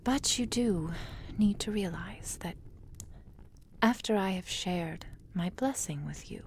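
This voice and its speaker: A woman playing a proud, lovelorn immortal witch in an intimate ASMR roleplay, speaking softly and breathily in formal, deliberate phrases, often in a whisper. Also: medium pitch, animated, slight background noise. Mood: solemn, cautioning. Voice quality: soft, breathy, frequently whispered.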